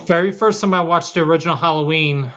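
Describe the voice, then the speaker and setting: A man's voice: fairly high pitch, bright, forceful tone. Earnest man on a dull lo-fi line. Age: late 30s. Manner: earnest, halting with many fillers. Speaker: guest. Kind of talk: casual interview. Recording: dull lo-fi audio.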